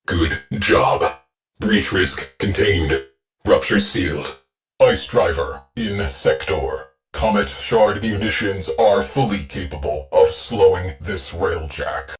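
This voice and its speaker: An actor playing a calm, terse male ship AI that gives clipped, deadpan tactical orders in a video game. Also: sits low. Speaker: ship's onboard AI.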